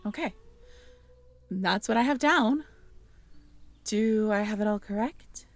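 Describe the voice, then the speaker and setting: A young woman in an intimate audio roleplay, talking softly to the listener, hesitant and stammering. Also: mid-range voice, slow, no room sound. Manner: hesitant, stammering, soft-spoken. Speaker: audio drama performer speaking to the listener.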